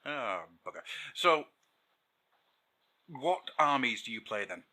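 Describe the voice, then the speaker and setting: A man's voice: medium pitch, very animated, slow, pushed and bright. A man co-hosting a podcast in an informal, rambling, casual chat. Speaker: podcast co-host.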